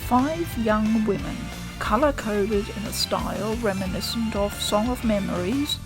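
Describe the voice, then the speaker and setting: A woman reading a scripted game review voice-over in a measured, articulate and deliberate way. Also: mid-range voice, rough recording. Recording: home voice-over with music underneath. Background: game music.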